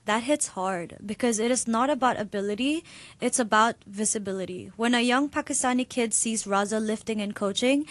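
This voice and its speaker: Female podcast co-host, slightly higher voice, easy questioning style. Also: moderate pace. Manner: conversational, probing questions. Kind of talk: interview hosting.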